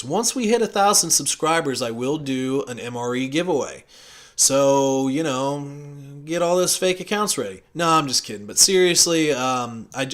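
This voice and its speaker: An American man talking casually and unscripted as a channel host, in a rambling, conversational way with hesitant pauses. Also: on the high side, a bit bright. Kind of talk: casual unscripted audio log.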